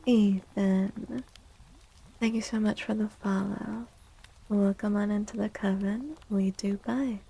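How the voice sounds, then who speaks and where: Female ASMR streamer, soft and breathy near-whisper, fairly even melody with some creak, casual and gentle, close-miked. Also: mid-range voice, slow pace, low-quality recording. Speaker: live streamer hosting chat. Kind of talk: soft-spoken ASMR, casual live chat.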